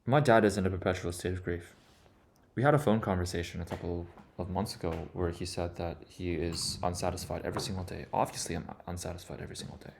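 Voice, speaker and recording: A man giving a personal, reflective monologue in an earnest, confessional manner, setting out his thoughts in short, deliberate phrases. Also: sits low, steady tone, vocal fry, a little hiss or hum. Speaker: vlogger.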